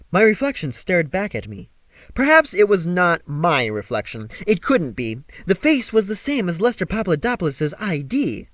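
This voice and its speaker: Male audiobook reader, expressive, dramatic, lively swinging intonation, dry sound, scripted novel narration. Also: on the high side, dry recording.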